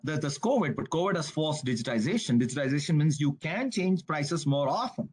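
Higher-pitched man giving a business webinar. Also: lively intonation, a little mellow, breathy edge. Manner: brisk, conversational, frequent "you gotta". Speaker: webinar presenter. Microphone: video call.

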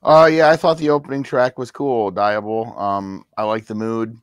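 A man's voice: mid-range voice, steady tone, normal speed, smooth, dry recording. A middle-aged man giving understated, offhand opinions in a casual music review chat. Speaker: album review panelist. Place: home room with record shelves.

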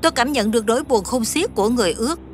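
A woman's voice: on the high side, lively intonation, very fast, slight background noise, natural voice. A woman playing a naive, earnest young alien wish-granter in dubbed fantasy film dialogue, blunt and innocently literal. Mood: earnest, concerned.

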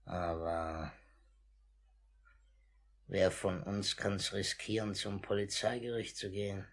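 An actor playing a wily, irascible old boss of a pickpocket gang in a 1950s German radio play, excitable and scheming. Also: male voice, low voice, slow, creaky, exaggerated voice. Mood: worried, scheming. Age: older. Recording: echoey, noisy 1950s radio play record.

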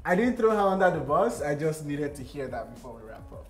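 A man's voice: a little high, big pitch swings, quick pace, soft background music. A young man, chatting as the host of a TV interview show. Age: young adult. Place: large open-plan office studio, indoors.

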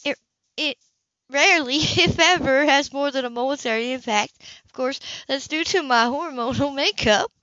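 A woman reading the part of a flustered, prim, analytical woman in a romance audiobook, halting and formal. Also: high-pitched, pushed and bright, no room sound, dull audio, pitched above the performer's own voice. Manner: halting, formal, clinical wording.

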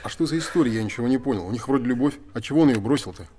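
A man's voice: medium pitch, lively intonation, very fast, dark tone, a little hiss or hum, close to an everyday voice. An actor in his thirties playing a reserved, curious theatre director from the capital, speaking in a terse, understated way in a naturalistic TV drama. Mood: reserved, probing. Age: 30s. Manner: terse, understated, matter-of-fact. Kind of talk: naturalistic television drama acting.

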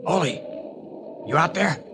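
An actor playing a scheming young outlaw who gives curt orders in a 1950s radio drama. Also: male voice, a little high, animated, measured pace. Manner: bossy, curt. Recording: noisy old radio broadcast.

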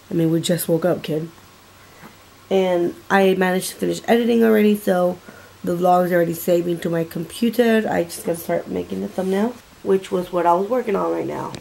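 A woman's voice: lowish voice, vocal fry. A young woman vlogging casually at home and in her car, filming herself at arm's length. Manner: chatty, talks softly while baby sleeps. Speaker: family vlogger. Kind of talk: casual vlog narration. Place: living room at home, later in a car. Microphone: handheld camera at arm's length. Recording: noisy home and car background.

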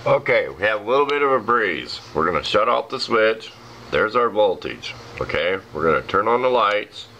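American male, deep rough voice, folksy unhurried DIY demo, slightly noisy home-video sound. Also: sing-song, pushed and bright, muffled recording. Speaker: hobbyist demonstrating a homemade project. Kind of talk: casual explanatory demo. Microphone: handheld camera, speaker behind it.

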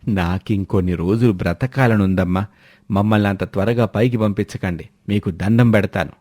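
A man's voice: lowish voice, normal speed, a little mellow, dry recording, clean studio sound, pitched below the performer's own voice, close to an everyday voice. A man voicing a weary, wry older village father in a cartoon moral story. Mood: wry pleading.

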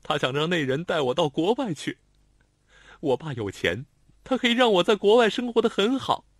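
Brief: man playing a young rich girl, lovesick, pleading and loud, pitched high, storybook reading. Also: no echo, studio quality, full character voice. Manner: pleading, raised, near shouting. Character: young woman, rich man's daughter, lovesick and insistent. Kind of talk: audiobook character voice.

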